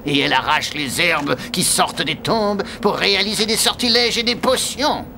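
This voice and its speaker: A man's voice: high voice, normal speed, vocal fry, sharp tone, slight background noise, heavily acted. A man playing a scheming, spiteful cartoon archbishop in a pompous, theatrical and mocking manner. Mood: insinuating. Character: scheming, sneering, sycophantic archbishop, spiteful villain. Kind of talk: animated fairy-tale dubbing in French. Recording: music behind the voice.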